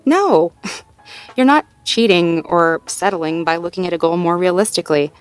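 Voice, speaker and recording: A woman acting as a caring girlfriend with a slightly low voice. She speaks to her partner in an intimate audio roleplay, gentle and encouraging, with easy teasing and natural, conversational hesitations. Music plays faintly underneath. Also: normal speed, smooth, no echo, close to an everyday voice. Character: supportive, playful girlfriend helping partner problem-solve.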